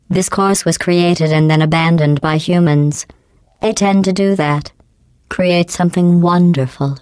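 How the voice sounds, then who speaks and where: Cold sarcastic ai lady from a game, low smooth voice, dead dry studio sound. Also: dry recording. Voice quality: smooth, clipped and deadpan. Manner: mocking, dry insults in flat delivery. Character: cold sarcastic female AI running tests. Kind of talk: video game voice clips.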